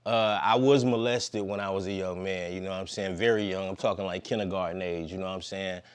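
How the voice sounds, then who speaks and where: Guest, man, 50s, very low voice, relaxed slangy rambler. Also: fairly quick, clean tone. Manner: laid-back, slangy, long-winded. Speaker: podcast guest. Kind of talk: casual conversation.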